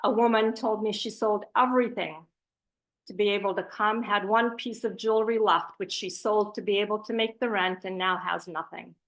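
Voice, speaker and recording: A woman's voice: medium pitch, steady tone, moderate pace, a bit bright, low-quality recording. A woman speaking as a charity representative on a panel, giving a prepared presentation with asides in an earnest, emphatic way.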